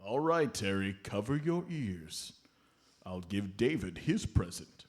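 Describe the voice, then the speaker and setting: A man playing a jolly, avuncular Santa Claus, gently scolding and fond, in a live comedy radio play. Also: lowish voice, big pitch swings, slow pace, slight background noise. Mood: cheerful. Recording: live stage comedy show recording.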